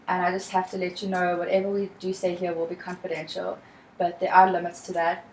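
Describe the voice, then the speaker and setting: A young woman from South Africa with a South African accent, leading a practice counseling session in a calm, measured, reassuring way. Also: lowish voice, echoey room, slight background noise, low-quality recording. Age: young adult. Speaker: student counselor.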